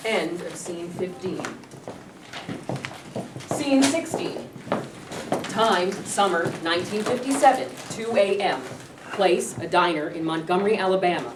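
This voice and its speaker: A woman reads stage directions in a plain, measured way. Her somewhat low voice is captured from a distance in a reverberant room, and clear background noise runs under it. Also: expressive. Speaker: narrator reading stage directions.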